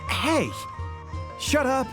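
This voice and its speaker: A man's voice: very high voice, expressive, drawn-out words, over music, heavily acted. A man voicing a casual, good-natured mercenary comrade in a video game, a little defensive. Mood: flustered, boastful. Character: laid-back, defensive male mercenary comrade.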